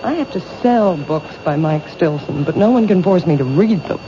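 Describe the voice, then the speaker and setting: A woman's voice: fairly low pitch, expressive, normal speed, natural voice. An actress playing a wary young bookstore owner in a 1970s radio drama, tense in her replies. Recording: hissy lo-fi old radio broadcast.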